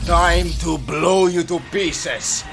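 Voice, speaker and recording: A British man voicing a grim, exasperated assassin mage with a gravelly voice, making solemn dramatic threats in an audio roleplay. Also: on the high side, steady tone, slow pace, music in the background. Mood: threatening. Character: grim, exasperated male pale assassin mage, honour-bound warrior.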